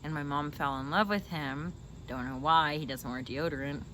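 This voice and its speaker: Woman w a lower voice doing a chatty giggly interview, tells stories, bit of noise behind her. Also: moderate pace, a bit bright. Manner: giggly, informal, digressive. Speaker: host interviewing her brother. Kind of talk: casual chat, storytelling. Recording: clearly noisy background.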